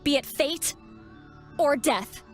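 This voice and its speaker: An earnest young female game character speaks in a fairly high voice. She sounds sincere and hopeful, with music underneath. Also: animated, slow pace. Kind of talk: scripted video game voice acting. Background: background music.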